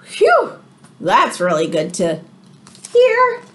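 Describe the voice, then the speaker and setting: A woman voicing an anxious, easily horrified little boy in a rhyming picture book, with dramatic drawn-out words. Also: on the high side, huge pitch swings, exaggerated voice. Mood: surprised, relieved.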